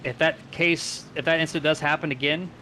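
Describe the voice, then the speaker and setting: A man's voice: fairly high pitch, steady tone, fairly quick, bright, forceful tone, a little hiss or hum. A man doing live play-by-play commentary on a sim race in a casual, conversational manner.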